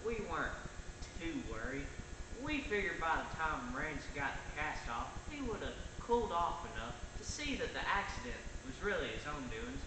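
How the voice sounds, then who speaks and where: A young man tells a scripted story in the first person with a high, lively voice. He is heard from a distance in a reverberant hall, with clear noise in the recording. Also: moderate pace. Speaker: student narrator and actor in reader's theater. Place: large reverberant hall, school performance.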